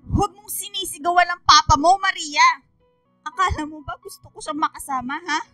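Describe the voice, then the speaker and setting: A woman's voice: very high-pitched, normal speed, bright, forceful tone, no echo, faint music behind. An actress playing an emotional middle-aged mother, forgiving yet admonishing, in a radio drama, with a Filipino accent. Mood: scolding. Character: middle-aged mother, forgiving of husband, scolding her daughter.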